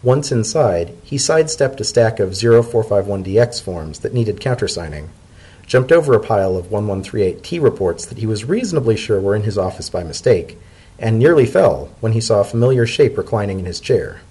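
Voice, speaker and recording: A man's voice: fairly low pitch, animated, fairly quick, warm tone, slightly rough. A man narrating a story as a dramatic reading.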